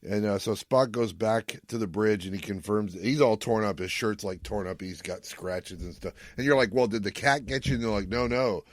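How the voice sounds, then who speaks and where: Low-voiced man chatting as podcast co-host. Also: moderate pace, hardly any pauses, no room sound, clean studio sound. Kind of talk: casual recap chat about retro TV sci-fi.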